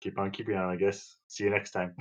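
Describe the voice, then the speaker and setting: A man with a fairly low voice chats casually as a podcast co-host. His delivery is informal and conversational. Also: flat delivery, quick pace, highs cut off, slight background noise. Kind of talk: casual chat.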